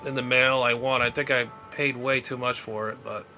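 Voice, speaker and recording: Middle-aged man, medium pitch, casual and rambling, repetitive, trailing off, clearly noisy background. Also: moderate pace. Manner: muttering, repeats himself, trails off. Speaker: amateur radio hobbyist showing his gear. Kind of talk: casual rambling vlog commentary. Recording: noisy recording with steady background noise.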